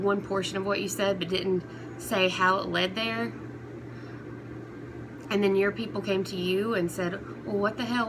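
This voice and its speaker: A woman talking casually and unscripted to her viewers as an online commentary host, in a halting, rambling, conversational way. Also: medium pitch. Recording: clear background noise throughout.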